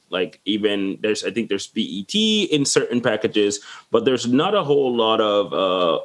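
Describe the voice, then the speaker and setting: A man from the Dominican Republic hosting a podcast, asking rambling, chatty questions with frequent restarts. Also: on the low side. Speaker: podcast host. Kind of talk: casual interview chat.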